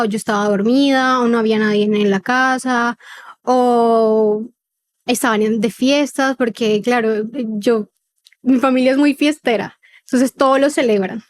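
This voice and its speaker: Female podcast guest, twenties, higher-pitched, neutral Colombian accent with slight paisa lilt, conversational testimony. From Cartagena, Colombia. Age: 20s. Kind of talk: personal testimony in conversational interview.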